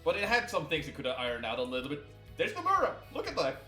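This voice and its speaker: A man's voice: a little high, wildly swooping pitch, fast, sharp tone, soft background music. A man streaming with friends, chatting casually about a video game in a roomy-sounding recording. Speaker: streamer and fandub performer.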